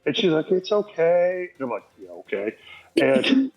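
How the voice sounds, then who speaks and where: A man's voice: sits high, huge pitch swings, normal speed, slightly rough. A man guest telling stories casually in a folksy, rambling, self-deprecating way. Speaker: podcast guest. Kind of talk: casual anecdotal storytelling. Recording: dull lo-fi sound, faint music.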